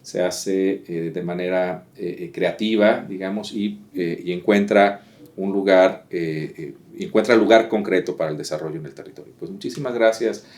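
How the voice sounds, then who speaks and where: Male academic interviewer, lowish voice, formal, hesitant, filler-heavy. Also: moderate pace, darkish tone. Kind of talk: interview summary and wrap-up.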